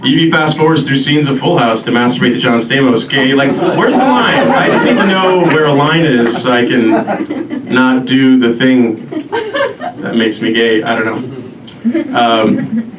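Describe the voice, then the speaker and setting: A man from Albania doing a self-deprecating stand-up comedy set, with a deadpan, halting delivery. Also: mid-range voice, crowd noise. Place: live comedy show before an audience. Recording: roomy echo, distant mic, noisy live venue.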